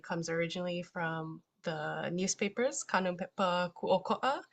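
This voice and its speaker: A woman's voice: fairly low pitch, a bit bright. A woman from Hawaii giving a casual online presentation as a stewardship coordinator, chatty, with frequent ums and Hawaiian words mixed into her talk. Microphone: computer mic on a video call.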